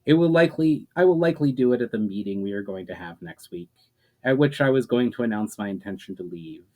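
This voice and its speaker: A man's voice: fairly low pitch, expressive, fast, darkish tone, close to an everyday voice. A man voicing an earnest, troubled mage in a storybook reading, grave and explanatory in manner. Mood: calm, earnest. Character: troubled, earnest male mage haunted by visions.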